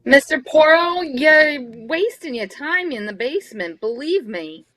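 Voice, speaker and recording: A reluctant elevator operator fellow, voiced by a woman, talks in a high and very animated voice. The delivery is colloquial and protesting, in radio-play style, recorded dry. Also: unhurried, no echo, soft background music, poor audio. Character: reluctant, plain-talking male elevator operator Johnny. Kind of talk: live reading of old-time radio mystery script.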